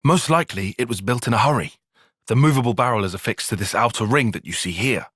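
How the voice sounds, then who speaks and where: A man's voice: mid-range voice, fast talker, a bit bright, slightly rough, no room sound, studio quality. A man with a British accent playing an earnest, analytical young soldier in a video game, speaking in formal, precise phrases. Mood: explanatory. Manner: formal, precise, bookish wording. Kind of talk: video game cutscene acting.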